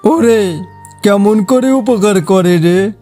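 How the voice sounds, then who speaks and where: A woman's voice: medium pitch, slow pace, mellow, faint music behind, close to an everyday voice. A woman voicing a worried, plaintive villager in a cartoon fairy tale. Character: worried villager fearing a monster jackal.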